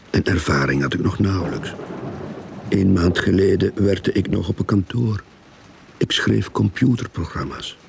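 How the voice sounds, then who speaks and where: Rookie detective, wry and tired, creaky low voice, radio drama, noisy backdrop. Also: male voice, full character voice. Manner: wry, world-weary. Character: weary young male novice private detective narrating his case. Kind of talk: radio drama narration and dialogue. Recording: radio play with clear background noise.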